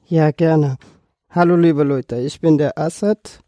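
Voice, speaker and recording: Young man, 23, Afghan accent, native Dari speaker. Slow, slightly higher-pitched delivery, personal podcast account. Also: fairly even intonation, dark tone, no room sound, studio quality. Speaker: podcast participant telling his life story.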